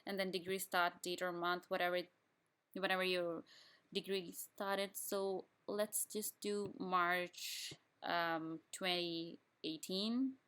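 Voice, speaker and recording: A woman's voice: on the low side, level tone, slow pace, a bit bright, clean tone. Young woman walking through a form. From Pakistan. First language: Urdu. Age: young adult. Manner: plain step-by-step instructions with frequent restarts. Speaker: student explaining an application form. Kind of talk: screen-recorded tutorial walkthrough.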